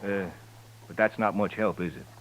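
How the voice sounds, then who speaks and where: A man's voice: deep voice, normal speed, close to an everyday voice. A man playing a talkative, folksy American livery stable keeper in a 1950s radio western, speaking hesitantly. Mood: folksy, obliging. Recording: noisy old radio recording.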